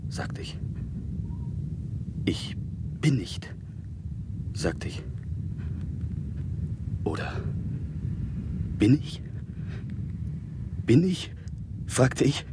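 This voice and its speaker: An actor playing a frightened dying soul, halting and self-questioning, partly whispering in a noisy old radio play. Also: male voice, mid-range voice, very animated. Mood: fearful, uncertain. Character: frightened dying person doubting their own existence. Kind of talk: 1960s German radio drama.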